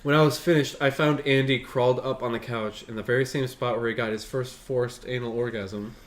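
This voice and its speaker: A man's voice: medium pitch, fairly even intonation, brisk. A man reading a story aloud as a show host, breaking off for casual, sweary commentary.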